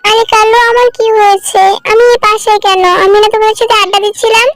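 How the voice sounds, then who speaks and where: Caring but firm little bird wife, played by a woman, tiny high cartoon voice, insistent delivery. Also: level tone, fast, music in the background. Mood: confused.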